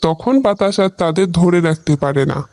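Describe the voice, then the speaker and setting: A high-pitched male cartoon cloud, acted by a man, patient and wise. It explains things in a measured, playful way, like a teacher for children. There is faint noise behind the voice. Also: lightly acted. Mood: patient, explaining. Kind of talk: educational cartoon dialogue.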